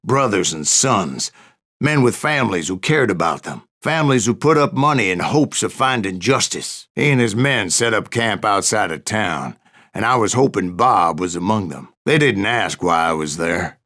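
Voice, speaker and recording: An actor playing a grizzled old bounty hunter, a boastful, folksy storyteller with a gravelly Western American drawl in a video game. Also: male voice, lowish voice, animated, moderate pace, fairly bright tone. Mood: wry, dramatic storytelling. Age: older. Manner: folksy, salty, yarn-spinning. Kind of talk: dramatic first-person video game narration.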